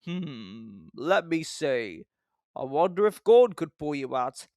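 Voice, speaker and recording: A man voicing a stern, authoritative railway controller with a firm, deliberate manner. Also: fairly high pitch, big pitch swings, a little mellow, dry recording, clean studio sound, exaggerated voice.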